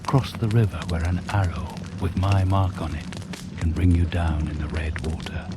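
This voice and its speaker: An actor playing a solemn hunter-shaman in a 1980s radio drama, chanting slow, ceremonial poetic invocations. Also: sits low, fairly even intonation, loud music behind the voice, lightly acted. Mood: solemn reverence. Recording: noisy old off-air radio recording.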